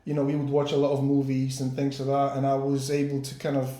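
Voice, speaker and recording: A Polish-born man in his forties, a podcast guest speaking English as a second language, casually telling his story with many pauses and repeated words. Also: medium pitch, monotone, mellow, reverberant. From Poland. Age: 40s. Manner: hesitant, repeats words while searching for English. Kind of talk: casual interview chat.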